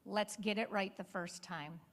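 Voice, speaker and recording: A woman's voice: medium pitch, fairly even intonation, measured pace. A woman speaking emphatically and plainly to an audience as a state lawmaker on a panel. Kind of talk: semi-formal political speech to an audience.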